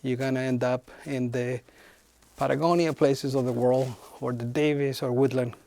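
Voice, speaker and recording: A middle-aged man speaks in a thoughtful, unhurried way and often hesitates mid-sentence. His voice sits at an ordinary middle pitch. The recording is dry, with almost no room sound. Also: fairly even intonation, vocal fry, warm tone, no room sound. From Chile. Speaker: head of human resources. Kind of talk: interview answers.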